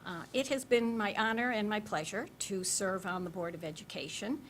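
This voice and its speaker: Measured, formal woman in her fifties, school board incumbent at a forum, faint rumble under. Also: a bit bright. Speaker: school board member and candidate. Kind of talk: candidate statement at a public forum.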